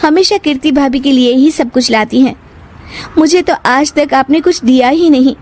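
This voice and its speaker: A woman's voice: high-pitched, normal speed, a little mellow, pitched above the performer's own voice, lightly acted. A woman voicing a defiant, quarrelsome young wife in a cartoon story. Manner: quarrelsome, retorting.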